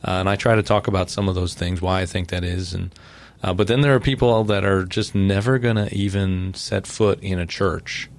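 A man who is a pastor hosting a podcast, talking in a relaxed, rambling way. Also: sits low, moderate pace. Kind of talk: casual chat.

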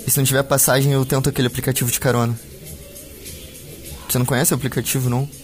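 A man's voice: medium pitch, little pitch movement, racing, soft background music, natural voice. A man playing a worried young Brazilian film student, a devoted son, talking casually in a naturalistic audio drama. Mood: casual, concerned. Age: young adult. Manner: casual, colloquial, short phrases.

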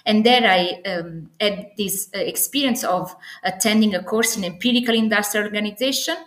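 The room rings around this woman's mid-pitched voice. She has an Italian accent and talks conversationally as an interview guest. She is enthusiastic, yet keeps pausing and rephrasing as she goes. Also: fairly bright tone. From Naples, Italy. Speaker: podcast guest, assistant professor. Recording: echoey, roomy sound.

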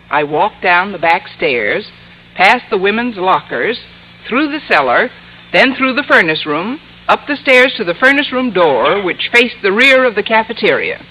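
Sardonic lady schoolteacher, old-time radio sitcom: dry wit, lively intonation, forceful bright tone. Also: medium pitch, poor audio. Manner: dry, sardonic, crisply ironic. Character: wry, quick-witted female schoolteacher. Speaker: actress and narrator in a radio comedy. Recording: vintage radio recording, studio audience.

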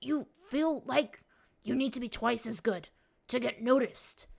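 A woman voicing an earnest, vulnerable young man in a fantasy story, apologetic yet stubborn, with halting pleas. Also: medium pitch, vocal fry. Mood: strained, insistent.

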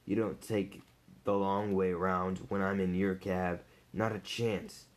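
A man's voice: low voice, level tone, takes it slowly. A man reading as a furious cab passenger. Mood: angry. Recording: loud guitar music behind voice.

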